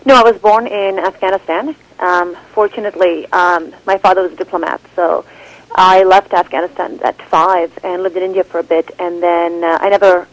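A woman film director answering interview questions in an animated, rambling way, full of restarts and "like". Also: lowish voice, moderate pace, low-quality recording. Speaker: documentary director, guest.

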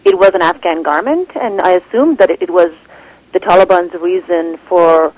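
A woman film director answering interview questions in an animated, rambling way, full of restarts and "like". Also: lowish voice, normal speed, no room sound, rough recording. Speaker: documentary director, guest.

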